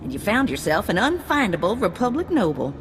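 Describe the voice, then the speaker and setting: A woman voicing a brisk, wry bounty broker giving out jobs. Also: medium pitch, very animated, over music, lightly acted.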